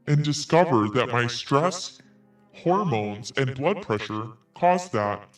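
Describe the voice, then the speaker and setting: A man's voice: medium pitch, lively intonation, takes it slowly, far from the mic, faint music behind. A man podcast host reading an email aloud in a theatrical, emphatic way. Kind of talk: dramatic read-aloud of an email.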